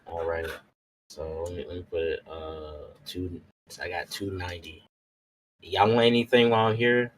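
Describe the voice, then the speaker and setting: A man's voice: low voice, fairly even intonation, normal speed, a little hiss or hum, low-quality recording. A man playing a tabletop roleplaying game, chatting casually and hesitantly as he thinks aloud. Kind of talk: casual chat during a game.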